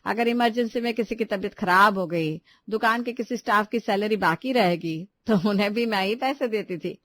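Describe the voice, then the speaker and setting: A woman's voice: medium pitch, normal speed, a bit bright, no echo, natural voice. A woman voicing a stern, shrewd older mother-in-law in a family story, with a pointed, lecturing manner. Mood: firm.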